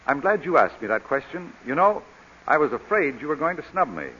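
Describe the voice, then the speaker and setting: A dull vintage radio drama recording of a suave English lord. His voice is high for a man and swings about brightly as he teases and flirts with smooth cheek. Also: very animated, fairly quick, slight background noise. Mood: flirtatious, teasing. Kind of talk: old-time radio drama acting.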